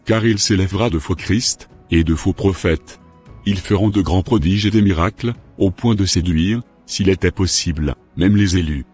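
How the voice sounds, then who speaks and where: A man's voice: deep voice, normal speed, a little mellow, slightly rough, soft background music. A man narrating a short scripted sermon, reading scripture solemnly.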